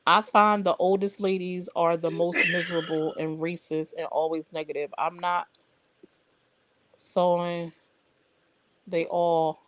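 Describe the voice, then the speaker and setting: A woman's voice: on the low side, level tone, takes it slowly, pauses often, fairly bright tone, no room sound, poor audio. A woman hosting a casual livestream, talking bluntly and straight to her viewers. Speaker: livestream host. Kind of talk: casual live chat.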